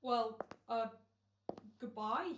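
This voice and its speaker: A woman playing a brisk, bossy, wry and self-assured radio director in an old-time comedy radio play. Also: fairly high pitch, reverberant, background noise. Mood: businesslike.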